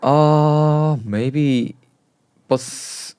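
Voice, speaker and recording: A man with a Korean accent, a checkup center manager chatting as a guest in halting English, often searching for words and laughing. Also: on the high side, steady tone, a little mellow, clean tone, dry recording. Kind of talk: casual interview chat.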